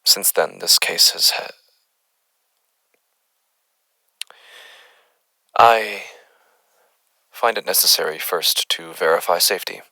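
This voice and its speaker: Gravelly, very low-voiced formal actor as a male investigator. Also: pushed and bright, dry recording, exaggerated voice. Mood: uneasy, halting. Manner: formal, deliberate, halting, wordy. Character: unsettled male doctor recording case findings on the paranormal. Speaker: investigator narrator. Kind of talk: horror audio drama monologue, formal dictated case log.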